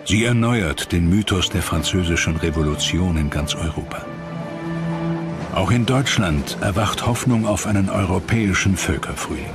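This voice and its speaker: Deep-voiced male documentary narrator over loud music. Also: normal speed. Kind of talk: scripted historical documentary narration.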